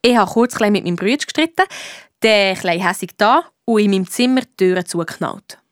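A woman's voice: mid-range voice, a little fast, slight background noise, natural voice. A woman playing a young girl detective who narrates her own adventure confidingly in a radio play.

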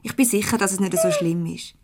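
An actress playing a loyal, exasperated office secretary in a radio comedy, brisk and defensive, with a Swiss German accent. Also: female voice, mid-range voice, huge pitch swings, noisy background.

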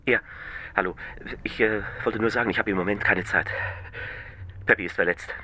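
An actor playing an evasive, flustered detective on a phone call in a radio crime drama, halting as he brushes someone off. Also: on the low side, fairly even intonation, pushed and bright, a little hiss or hum. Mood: downcast. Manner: halting, stammering brush-offs. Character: evasive, flustered male dog owner and detective.

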